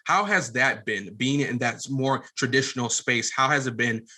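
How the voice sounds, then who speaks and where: Male podcast interviewer, mid-pitched and bright, casual, halting delivery that circles around each question.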